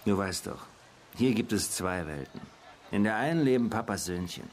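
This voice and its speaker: Low, lively, wary street informant played by a man. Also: normal speed, a little hiss or hum, natural voice. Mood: sardonic. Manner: slangy, evasive. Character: wary, grumbling male street hustler and reluctant police informant. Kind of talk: naturalistic German radio play dialogue.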